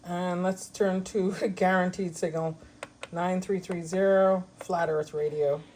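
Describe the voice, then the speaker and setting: A woman hosting a ham radio channel, casually demonstrating and reviewing a shortwave radio at home, relaxed and chatty with frequent ums. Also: lowish voice, slow, a little hiss or hum. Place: at home by a window.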